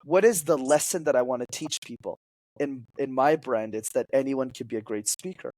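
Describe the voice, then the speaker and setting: Young man, French first language, public speaking coach, energetic, teacherly, middle pitch, dry close sound. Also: normal speed, no room sound, low-quality recording. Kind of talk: podcast interview guest.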